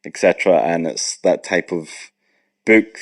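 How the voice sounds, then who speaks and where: A Scottish man in his thirties, speaking as an interviewed guest in a measured, thoughtful way with pauses. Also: low-pitched, expressive, vocal fry, no echo, clean studio sound. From Scotland, Ayrshire. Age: 30s. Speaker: guest property investor. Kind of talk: recorded interview answers.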